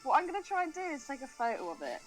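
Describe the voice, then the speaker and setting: A woman's voice: high voice, wildly swooping pitch, very fast, music in the background. A woman co-hosting a podcast, chatting casually about a film in a giggly, sweary way. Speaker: podcast co-host.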